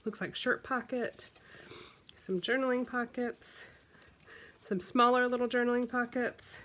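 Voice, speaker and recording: A woman's voice: a little high, fairly even intonation, unhurried, fairly bright tone. A woman from the United States, congested from the flu, chatting casually with lots of ums and likes as she shows off scrapbooking supplies. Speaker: craft vlogger. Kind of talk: casual show-and-tell unboxing.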